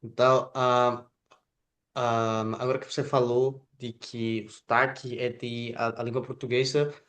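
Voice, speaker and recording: A man whose first language is Spanish hosts an interview in Portuguese, speaking haltingly with many filler sounds and restarts. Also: mid-range voice, little pitch movement, fairly bright tone. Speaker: interview host.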